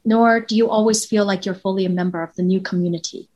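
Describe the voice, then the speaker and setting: A woman's voice: medium pitch, steady tone, fast, darkish tone, lo-fi sound. A woman with a Taiwanese accent, a professor speaking as a guest, earnest and reflective, building her points with rhetorical questions. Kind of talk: reflective interview answers.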